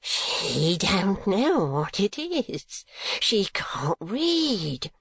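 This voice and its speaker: A man's voice: sits high, animated, a little slow, no echo, clean studio sound, pitched above the performer's own voice. A man voicing a frantic old male miser who shrieks and whispers in despair over a theft. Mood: frantic, wailing. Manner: screeching, whispering in panic.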